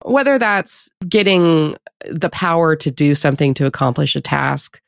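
Male podcast host, forties. Mid pitch, gravelly creak, dry close sound, conversational. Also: animated, normal speed, no room sound. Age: 40s. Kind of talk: casual interview chat.

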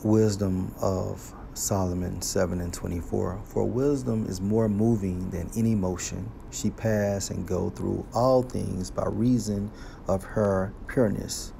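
A man teaching scripture, reading verses aloud slowly and explaining each one with a deliberate delivery. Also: sits low, steady tone, vocal fry, mellow, slight background noise. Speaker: Bible teacher.

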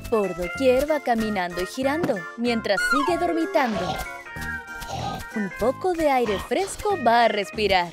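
A woman with a fairly high, lively voice narrates a children's cartoon story. She tells it in singsong rhyme, and loud music plays behind her. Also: a little slow.

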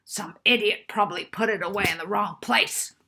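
A woman voicing a belligerent, ugly male professor in a children's storybook, booming and ranting demands. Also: normal speed, vocal fry, pushed and bright, slightly rough, heavily acted. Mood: furious.

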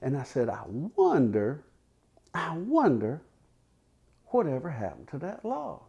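Animated older man telling tall tales. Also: a little high, vocal fry, lo-fi sound. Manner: folksy, unhurried, with dramatic pauses and repetition. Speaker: storyteller. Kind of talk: folksy tall-tale storytelling. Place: living room in a house, seated by a fireplace. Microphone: lapel.

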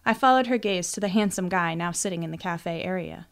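A woman's voice: lowish voice, brisk, creaky. A woman reading first-person fiction as an audiobook narrator in a scripted storytelling style.

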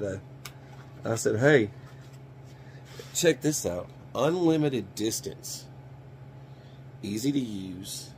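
A man's voice: medium pitch, a bit bright. A middle-aged American man giving a casual product review to camera in a folksy, rambling way, repeating phrases for emphasis. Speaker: survival channel host. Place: small cluttered indoor gear workshop. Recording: clear background noise with hum.

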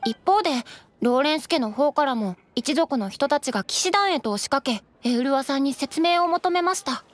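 An actress playing a polite, earnest young waitress in a Japanese game, kindly and measured in her speech. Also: high-pitched, animated, a little hiss or hum. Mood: serious, explanatory. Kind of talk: Japanese anime game voice acting.

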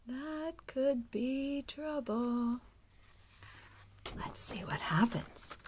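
A woman with a high, breathy voice narrates a picture book for young children. She takes her time and swoops up and down, often hushing her voice as if full of wonder. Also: a little hiss or hum. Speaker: storyteller and children's music teacher.

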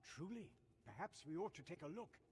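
An actor playing an earnest, bookish older scholar in a fantasy game, formal and emphatic, with archaic phrasing. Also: male voice, a little high, big pitch swings, moderate pace, darkish tone, slight background noise. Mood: relieved.

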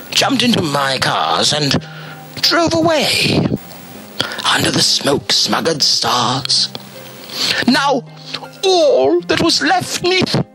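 A middle-aged man reading a children's story dramatically, with long pauses and heavy emphasis. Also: very high-pitched, bright, forceful tone, poor audio. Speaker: storybook reader.

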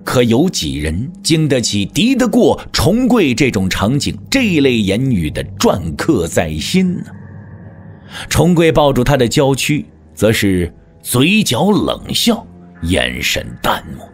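A man's voice: medium pitch, slow pace, faint music behind. A man narrating a martial-arts story in a theatrical, scripted storytelling style. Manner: theatrical, expressive.

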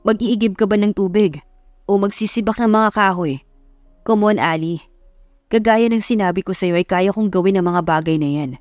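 A woman's voice: medium pitch, normal speed, a little mellow, dry recording, clean studio sound, soft background music, close to an everyday voice. Woman voicing a teasing, confident young male CEO. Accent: Filipino.

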